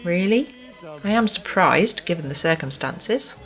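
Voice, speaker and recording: A woman's voice: fairly low pitch, expressive, unhurried, fairly bright tone, faint music behind. A woman playing a prim, officious care home matron with an English accent and formal, pompous manner in an amateur radio play. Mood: cool, pointed.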